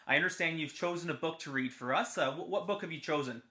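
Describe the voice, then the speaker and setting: A man with a slightly high voice hosts in an informal, polite way. He feels his way through sentences with some hesitation. The sound is roomy and echoing. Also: fast talker, bright, forceful tone. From Canada. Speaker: host, member of parliament. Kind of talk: informal welcome and interview. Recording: echoey, reverberant room sound.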